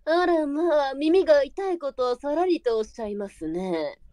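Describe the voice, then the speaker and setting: A woman's voice: sits high, lively intonation, moderate pace, dark tone, dry recording. A woman playing a composed, shrewd brothel madam in a Japanese period audio drama, polite and coolly businesslike.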